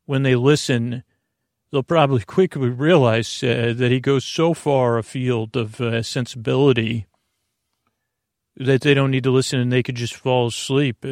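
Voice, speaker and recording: A man hosting a sleep podcast, improvising a halting, meandering monologue that keeps interrupting itself. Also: medium pitch, steady tone, a little mellow, no room sound, clean studio sound. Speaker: podcast host.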